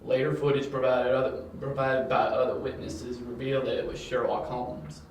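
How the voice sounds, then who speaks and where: A man's voice: medium pitch, moderate pace, natural voice. A man playing a blunt, world-weary police officer in an amateur audio drama. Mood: matter-of-fact. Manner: flat, blunt. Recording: echoey room, far from the mic.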